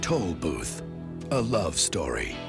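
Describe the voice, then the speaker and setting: A man reading a movie trailer voice-over, announcing cast names slowly and weightily. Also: low-pitched, huge pitch swings, over music. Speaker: trailer narrator.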